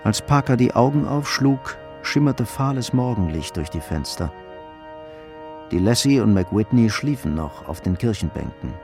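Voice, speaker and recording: An actor playing the sober narrator of a radio crime drama, telling events in a measured, factual way. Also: male voice, on the low side, a little mellow, lightly acted.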